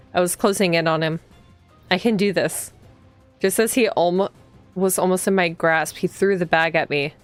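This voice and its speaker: A woman with a slightly low voice streams a story game. She moves between reading the text aloud and casual commentary, thinking out loud as she goes. Soft music plays behind her voice. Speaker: streamer playing a visual novel. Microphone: streaming microphone.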